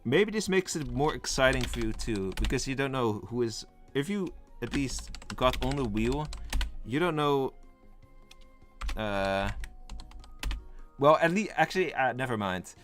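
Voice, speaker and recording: A young man whose first language is Dutch hosts a live stream, talking in a casual, rambling way as he reads the chat and lists game roles. His voice sits in a middle register. Soft background music plays faintly behind him. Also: mid-range voice, lively intonation, measured pace.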